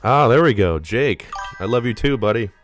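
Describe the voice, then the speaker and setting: A man's voice: mid-range voice, lively intonation, normal speed, soft background music. A man hosting a live movie-review stream, talking casually and rambling, a little nasal from being sick. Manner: rambling, casual, swears freely.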